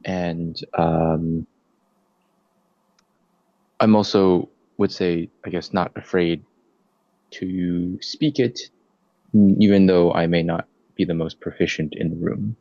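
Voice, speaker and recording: A young man with a very low voice answers interview questions in a halting, casual way, stopping often to think. The sound is dry and a little dull, like a lo-fi recording. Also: steady tone, dark tone, dry recording. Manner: hesitant, many fillers and restarts. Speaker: interviewee, nursing student.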